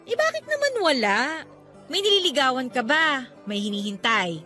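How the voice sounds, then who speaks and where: A woman's voice: high voice, sing-song, moderate pace, sharp tone, faint music behind, exaggerated voice. A woman playing a playful, chatty young businesswoman meeting an old flame in an audio drama, with a teasing, exclaiming manner. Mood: coy teasing. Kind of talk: Filipino audio drama acting.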